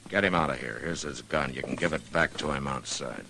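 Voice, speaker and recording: A very low-pitched, authoritative American lawman of middle age. He speaks calmly and firmly, in the manner of an old-time radio western. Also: a little fast, creaky, very noisy. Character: calm, authoritative frontier marshal.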